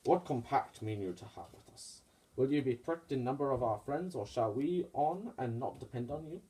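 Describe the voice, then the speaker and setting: A man's voice: medium pitch, animated, normal speed, warm tone, natural voice, rough recording. A man playing a wary, mistrustful Roman conspirator in a puppet-show Shakespeare, speaking tersely and suspiciously in verse.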